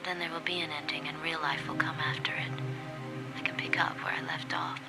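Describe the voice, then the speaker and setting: A woman's voice: low voice, level tone, fairly quick, whispered. An actress playing a dazed, desperate captive mother in an audio drama, insistent and pleading. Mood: hushed, reflective. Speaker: actor. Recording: loud music under the voice.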